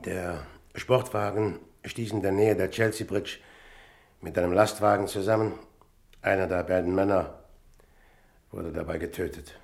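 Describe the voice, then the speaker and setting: Radio crime drama: courteous male investigator, deep-set gravelly tone, deliberate and gently probing. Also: fairly even intonation. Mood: sober, reporting.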